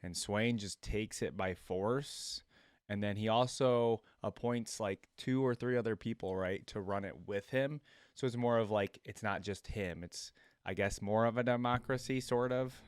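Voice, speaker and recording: Guy doing a reaction vid, kinda low voice, rambly with lots of um and like, dry close mic. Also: measured pace, smooth, no echo. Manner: chatty and musing, many fillers, repeats lines aloud. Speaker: reaction video host. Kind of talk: casual reaction commentary.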